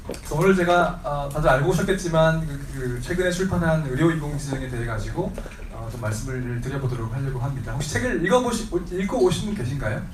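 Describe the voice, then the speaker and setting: A man giving a lecture on his book to a seated audience, with a sore throat from a cold, speaking haltingly with many fillers and restarts and clearing his throat often. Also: a little high. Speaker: lecturer and author. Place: seminar room with audience. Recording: echoey room, voice from a distance, faint hiss.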